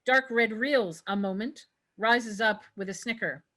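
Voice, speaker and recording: Woman narrating a script read, crisp stage directions, really bright forceful voice. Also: medium pitch, unhurried.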